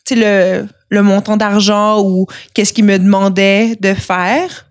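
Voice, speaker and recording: A woman's voice: medium pitch, level tone, slow pace. A young woman, telling her own story frankly as a podcast guest, laughing easily. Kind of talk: casual conversational storytelling.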